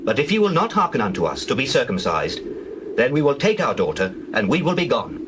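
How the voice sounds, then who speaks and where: A man's voice: medium pitch, sing-song, brisk, background noise, close to an everyday voice. A man playing an insistent, eager young biblical man in a dramatized scripture reading, with formal, emphatic delivery. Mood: firm.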